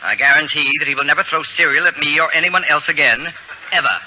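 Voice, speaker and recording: A man's voice: fairly high pitch, fast talker, pushed and bright. A man playing a haughty, pompous genius babysitter with precise, formal diction, in 1940s radio comedy style. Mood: stern, smug. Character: haughty, fastidious self-proclaimed genius male babysitter. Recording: dull, noisy old radio broadcast.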